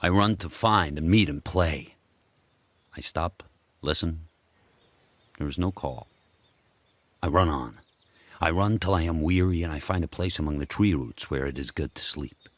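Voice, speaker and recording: An actor voicing a powerful, solitary dog turning wild, telling his story in terse, measured present-tense sentences for a radio drama. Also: very deep voice, dry recording. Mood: watchful, measured.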